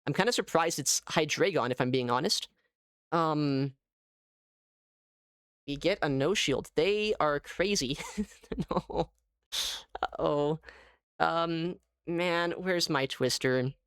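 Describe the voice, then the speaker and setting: A man giving casual live gameplay commentary as a streamer, thinking aloud in an animated way. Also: on the high side, slow pace, pauses often, clear, resonant tone, no room sound. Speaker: gaming streamer.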